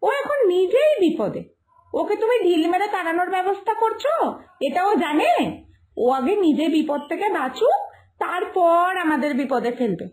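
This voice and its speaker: Woman acting a whiny, defiant village daughter, high-voiced. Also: very animated, moderate pace, darkish tone. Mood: defiant, reasoning. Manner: whiny, irritated back-talk. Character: lazy, sleepy, defiant grown-up village daughter. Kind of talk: cartoon fairytale voice acting.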